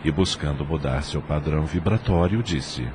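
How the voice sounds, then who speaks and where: A Brazilian man narrating a scripted audio drama in a measured, formal manner. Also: very deep voice, noisy background.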